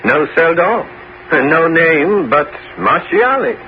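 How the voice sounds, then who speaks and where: An actor playing a smooth-tongued, scheming French bishop and former musketeer in a 1970s radio drama, suave and persuasive. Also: male voice, fairly high pitch, sing-song, takes it slowly. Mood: feigned confusion. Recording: dull, faintly noisy old radio recording.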